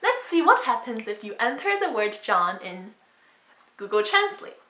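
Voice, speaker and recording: A woman with a high, very lively voice speaks straight to camera like an opinionated vlogger. She makes her points emphatically, and the room around her sounds echoey. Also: normal speed. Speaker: book vlogger. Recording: roomy echo.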